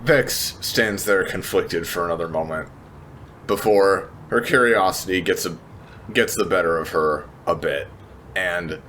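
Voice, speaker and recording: A man playing a tabletop roleplay game, describing his character's actions in the third person in a measured, hesitant way. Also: deep voice, fairly bright tone, a little hiss or hum.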